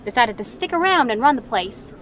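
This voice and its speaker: A folksy frontier townswoman played by a woman in a western audio drama. Her voice is fairly high and plain-spoken, full of country idiom, over slight noise. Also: animated, fairly quick, fairly bright tone. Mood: gossipy.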